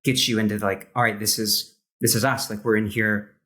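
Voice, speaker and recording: A man hosting a casual podcast, speaking reflectively and haltingly as he searches for words with many false starts. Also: on the low side, little pitch movement, pushed and bright. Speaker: podcast host.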